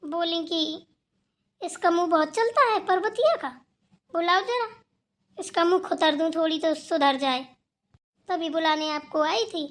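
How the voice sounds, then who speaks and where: Very high, cheeky young household woman, acted. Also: a little slow, long pauses, a bit bright. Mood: matter-of-fact, cheeky. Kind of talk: Hindi family cartoon dialogue.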